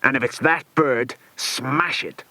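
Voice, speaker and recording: A man's voice: medium pitch, takes it slowly, bright, forceful tone, dry recording. A man voicing a ruthless villain boss in an audiobook, with a snapping, frantic delivery. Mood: curt, menacing. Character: ruthless male villain boss, barking orders, then desperately pleading.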